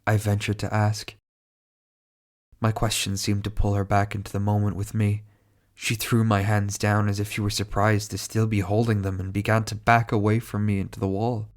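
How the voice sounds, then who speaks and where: A man with a stuffy cold voice narrating a horror story in a measured, slow, dramatic delivery. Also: fairly low pitch, monotone. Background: music.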